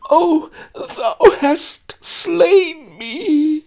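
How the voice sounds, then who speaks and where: Brief: man voicing a spoiled, histrionic young lady, high, shrieking, slow and theatrical. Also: expressive, vocal fry, darkish tone, full character voice. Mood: melodramatic. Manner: shrieking, melodramatic. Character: spoiled dramatic young woman, shrill and theatrical. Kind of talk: audiobook character voice.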